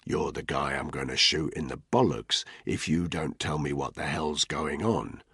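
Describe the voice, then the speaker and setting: A man's voice: very deep voice, normal speed, fairly bright tone, breathy edge, dry recording, studio quality, pitched below the performer's own voice, heavily acted. A man voicing a tough male British intelligence soldier who hisses threats. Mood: menacing. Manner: hissing threats.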